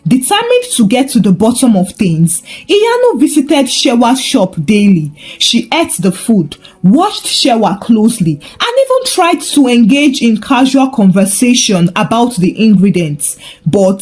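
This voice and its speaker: Female folktale narrator: unhurried, scripted storytelling with a fairly high, animated voice.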